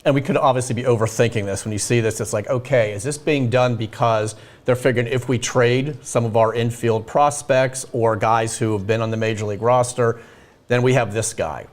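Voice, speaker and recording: A man's voice: mid-range voice, fairly even intonation, brisk. A man working as a team insider, chatting casually and thinking aloud with plenty of hedges. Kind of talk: casual analytical chat.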